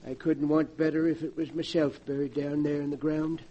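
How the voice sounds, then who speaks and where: An actor playing a humble, solemn old caretaker who speaks deferentially in a 1940s radio drama. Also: male voice, on the high side, flat delivery, moderate pace, slight background noise, lightly acted. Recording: dull old radio recording.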